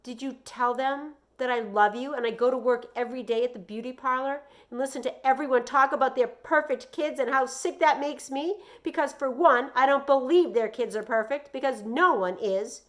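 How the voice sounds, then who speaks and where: An exasperated mom as a woman reading a novel aloud plays her, with a fairly high voice. She is weary and defensive, and scolds with bitter, emphatic stress. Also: normal speed, hardly any pauses, close to an everyday voice.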